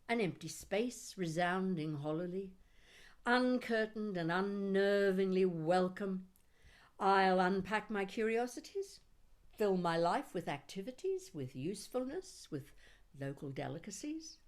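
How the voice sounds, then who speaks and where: A mature woman reading her own poetry expressively, with deliberate phrasing and dramatic pauses. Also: medium pitch. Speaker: poet reading own work.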